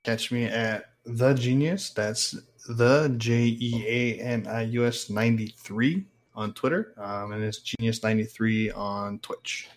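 A man with an ordinary, middle-pitched voice who co-hosts a casual podcast. He jokes around constantly and calls everyone dude and bro. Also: fairly even intonation, unhurried, hardly any pauses. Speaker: podcast co-host.

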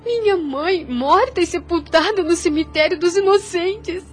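A woman's voice: very high-pitched, a little slow. A woman playing a devout, self-sacrificing young woman in a radio soap opera, with earnest, formal, literary delivery. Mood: tearful, grieving. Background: music under the voice.

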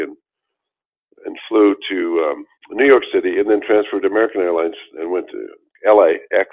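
Deep-voiced older man telling his story. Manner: rambling, with many restarts and fillers. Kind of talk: casual storytelling interview. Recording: tinny phone-like line.

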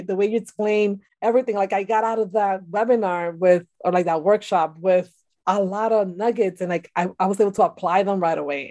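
A woman with a Dominican accent, a podcast guest who tells her story in a lively, giggly way, full of fillers. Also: medium pitch, no room sound. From Dominican Republic. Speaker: guest, boudoir photographer. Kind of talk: conversational interview.

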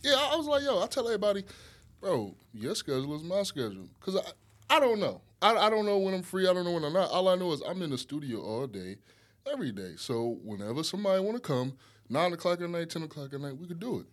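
A young man from the USA hosting a casual podcast chat, talking with easy slang and animated energy. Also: high-pitched, quick pace. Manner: lively, animated, slangy, does voices when retelling. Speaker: podcast host.

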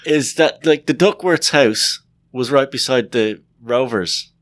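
A young man co-hosting a podcast, chatting easily with quick interjections and frequent laughter. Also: medium pitch. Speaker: animator and co-host. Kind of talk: casual podcast chat.

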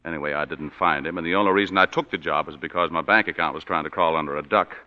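A weary sardonic private eye, low voice, crisp tone, 1940s radio play, muffled broadcast with music. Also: male voice, fast. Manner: dry, sardonic first-person narration. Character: tired, wisecracking hard-boiled male private eye. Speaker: narrator.

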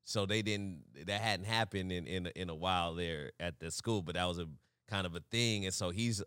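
Animated man telling stories, bright tone. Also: low-pitched, normal speed, smooth, no room sound, clean studio sound. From Fresno, California. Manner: animated storyteller, laughs and shouts through punchlines. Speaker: podcast co-host. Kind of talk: casual storytelling chat.

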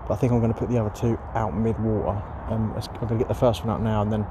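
A man chatting casually by a lake about carp fishing, rambling with plenty of fillers, his voice going hoarse. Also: on the low side, steady tone, rapid-fire. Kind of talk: casual vlog chat to camera. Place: outdoors by a lake. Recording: noisy outdoor recording.

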